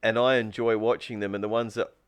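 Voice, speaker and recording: Rambling, low-voiced man. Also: little pitch movement, brisk, clear, resonant tone. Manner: rambling, thinks aloud, restarts often. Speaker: podcast guest, spearfisher and food truck owner. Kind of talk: casual podcast chat.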